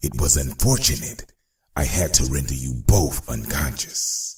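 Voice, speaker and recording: A man voicing a menacing, scheming crime boss in a comic-book cartoon, with a gravelly voice and a deliberate, theatrical delivery. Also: animated, echoey room. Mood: sly, smug. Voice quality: gravelly, very deep. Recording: music behind the voice. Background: music.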